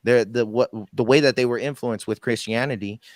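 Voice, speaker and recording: A man's voice: mid-range voice, steady tone, fairly quick, no echo. A man speaking as a guest on a live online panel, rambling and apologetic as he talks over his time.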